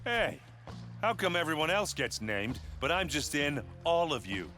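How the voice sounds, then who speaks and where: Easygoing male warrior, jokey, goofy, lively pitch swings, animated game, music behind. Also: a little slow, exaggerated voice. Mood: affectionate. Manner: jokey, laid-back. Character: easygoing, goofy, big-hearted food-loving warrior man. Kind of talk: animated video game cutscene acting.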